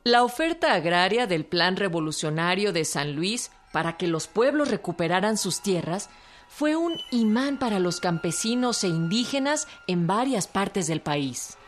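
A woman's voice: medium pitch, expressive, measured pace. A woman narrating history from a script in documentary style. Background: music.

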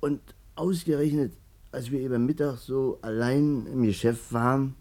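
An actor playing a smooth-talking, boastful ladies' man in a 1950s German radio play. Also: mid-range voice, fairly even intonation, normal speed, a little mellow, lightly acted. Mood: boastful recounting. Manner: colloquial, insinuating, emphatic storyteller.

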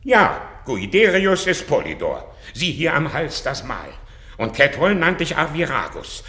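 An actor playing a proud, loyal old warrior, declaiming with passion in a classical radio drama. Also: male voice, fairly high pitch, very animated, measured pace, sharp tone, full character voice. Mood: pleading, earnest. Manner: impassioned, declamatory.

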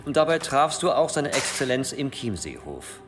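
Cunning church inquisitor played by a man, formal and insistent, very animated delivery, echoing hall with music underneath. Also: medium pitch, normal speed, lightly acted. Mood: probing, stern. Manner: formal, insistent, rhetorical courtly address. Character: cunning, relentless cathedral provost conducting an interrogation. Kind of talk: period radio drama acting. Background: dramatic music.

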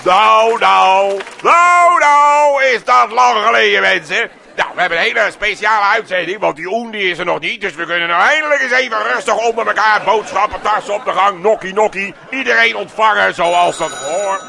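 A very bright, piercing comic radio host, irritable and bossy, with a Dutch accent. He stammers and repeats himself as he tries to run his show, high in pitch with a creaky edge. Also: normal speed, few pauses, full character voice. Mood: excited, chatty. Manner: stammering, repetitive, exasperated. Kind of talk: comedy radio sketch, mock live broadcast.

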